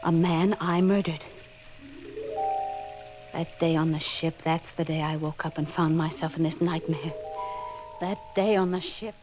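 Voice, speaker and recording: A woman's voice: low-pitched, steady tone, a little slow, long pauses, music in the background. An actress playing a confused, troubled wife searching her memory, in the melodramatic style of 1940s radio acting. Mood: haunted, brooding. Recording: muffled, noisy old radio recording.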